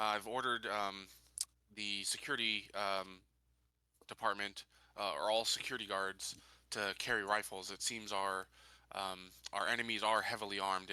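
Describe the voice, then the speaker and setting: Sharp-voiced earnest colony official, played by a man. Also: fairly low pitch, clear, resonant tone, low-quality recording. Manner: formal, halting, businesslike. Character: earnest, dutiful male colony security official giving report.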